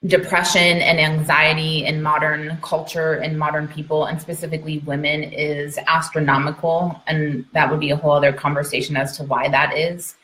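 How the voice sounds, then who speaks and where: Low-voiced woman lecturing in an echoey room. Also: flat delivery, normal speed, few pauses, sharp tone, a little hiss or hum. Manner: emphatic, repeats key points, checks in with "okay?". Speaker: speaker, midwife and trainer. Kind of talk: informal educational lecture to an online audience. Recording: roomy, echoey sound.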